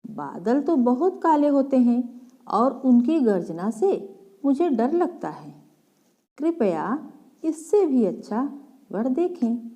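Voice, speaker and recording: A woman's voice: sits high, very animated, slow, mellow, full character voice. A woman voicing a sage's young daughter, a choosy girl who speaks respectfully in a storybook tale. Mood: polite objection.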